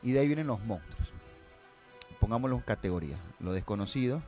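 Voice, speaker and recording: A man's voice: fairly low pitch, lively intonation, fast talker, mellow, soft background music. A man talking casually on a podcast as a guest, explaining step by step and often checking in with his listeners. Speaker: guest filmmaker.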